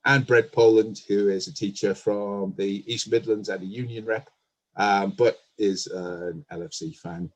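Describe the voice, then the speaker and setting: Low-voiced male show host. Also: fairly even intonation, unhurried. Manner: friendly, hesitant, frequent fillers. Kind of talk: casual online panel interview.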